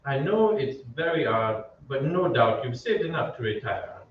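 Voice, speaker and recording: A man's voice: mid-range voice, sing-song, natural voice. A man playing an opera maestro in a radio drama, courteous, formal and politely firm. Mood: politely dismissive. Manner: measured, courteous, authoritative. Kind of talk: old-time radio drama acting. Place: theatre stage, live reading. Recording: very echoey stage, distant, muffled, hiss.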